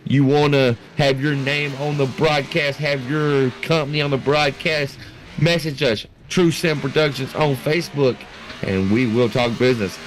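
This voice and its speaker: A man giving excited, folksy live play-by-play commentary on a sim race, in an animated and colloquial way. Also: medium pitch, moderate pace, poor audio. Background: racing game vehicle noise.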